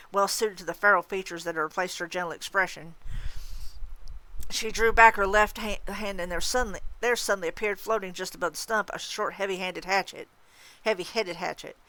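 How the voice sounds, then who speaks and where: Female story reader, slightly low voice, expressive suspenseful narration, dry intimate sound. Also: no echo, low-quality recording. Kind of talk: dramatic audiobook-style narration.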